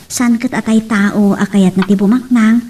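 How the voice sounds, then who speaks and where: A woman with an Ilocano accent playing a gentle young sweetheart in a radio drama. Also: medium pitch, steady tone, normal speed, far from the mic, a little hiss or hum, close to an everyday voice. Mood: hesitant.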